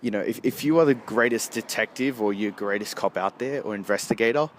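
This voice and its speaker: A man giving a casual, rambling amateur movie review in a halting way, often rephrasing himself, recorded outdoors while walking along a street. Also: on the low side. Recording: noisy outdoor street with traffic. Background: street and vehicle noise.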